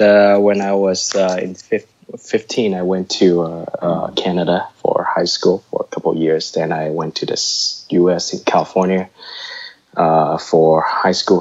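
A man's voice: sits low, darkish tone, husky, highs cut off. A man from Hong Kong with a slightly American accent, talking as an interview guest with lots of fillers and restarts. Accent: American-tinged English. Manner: hesitant, many fillers and restarts. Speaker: guest, startup co-founder. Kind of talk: casual interview chat.

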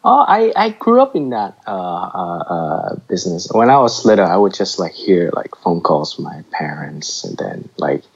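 A man's voice: sits low, sing-song, moderate pace, creaky, hardly any pauses, husky, lo-fi sound. A man from Hong Kong with a slightly American accent, talking as an interview guest with lots of fillers and restarts. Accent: American-tinged English. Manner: hesitant, many fillers and restarts. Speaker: guest, startup co-founder. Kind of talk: casual interview chat.

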